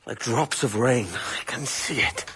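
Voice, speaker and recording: An actor playing a brash, cocky young pirate rogue with a cheeky, impassioned manner. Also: male voice, mid-range voice, a little slow, pushed and bright, slight background noise. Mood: dreamy, earnest. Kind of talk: video game cutscene acting.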